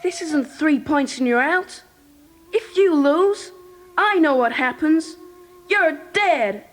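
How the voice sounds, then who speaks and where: A woman's voice: high-pitched, expressive, takes it slowly, faint music behind. A woman playing an earnest, loyal teenage boy in an audio drama, pleading and urgent. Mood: pleading, upset. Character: earnest, anxious American teenage boy, loyal karate pupil.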